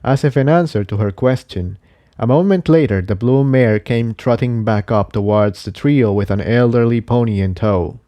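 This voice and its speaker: A man's voice: mid-range voice, normal speed, warm tone. A man narrating an adventure story from a script. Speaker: narrator reading fan fiction.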